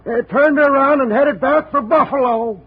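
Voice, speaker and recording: A man's voice: very high-pitched, moderate pace. An actor playing a cranky, folksy old backwoods man with a rural American accent in a 1950s radio drama, blunt and given to repeating himself. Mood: cranky, emphatic. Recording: muffled old radio recording.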